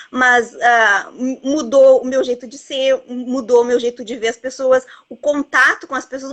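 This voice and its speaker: A talkative woman, her voice sitting a little high. She rambles warmly, tucking in tag questions and little asides as she talks over a book with its author. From southern Brazil border region. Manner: rambling, lots of "né" and "assim, ó". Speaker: bookseller interviewing an author. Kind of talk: casual book chat.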